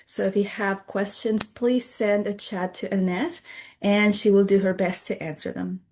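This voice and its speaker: A woman hosting an online event, announcing the program and thanking helpers in a measured way over a video call. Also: medium pitch, little pitch movement, darkish tone, slight background noise. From Costa Rica. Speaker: trainer and co-host.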